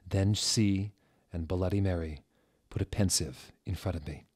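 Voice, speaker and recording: A man in his thirties giving a deliberate, theatrical reading of fan fiction, close to a desk microphone. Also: low voice, a little mellow, husky. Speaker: reader, show host. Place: small furnished room indoors.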